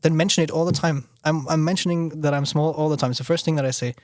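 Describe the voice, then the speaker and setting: A man's voice: a little high, fairly even intonation, fast, dry recording, clean studio sound. A young man from Austria, a podcast guest talking casually, often restarting his sentences and leaning on "you know". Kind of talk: casual conversational interview.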